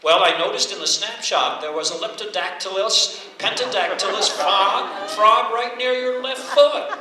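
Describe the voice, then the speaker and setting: An actor playing a shy, oblivious, bookish teacher, hesitant and earnest, in old-time radio comedy style. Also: male voice, high voice, normal speed, crowd noise, lightly acted. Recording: very echoey hall, slightly distant mic.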